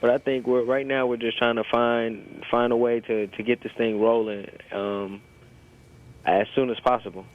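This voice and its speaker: Young American athlete on a tinny phone. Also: male voice, mid-range voice, little pitch movement, moderate pace. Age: early 20s. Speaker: college basketball player being interviewed. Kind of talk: informal interview answers. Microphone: telephone. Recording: tinny, narrow phone line.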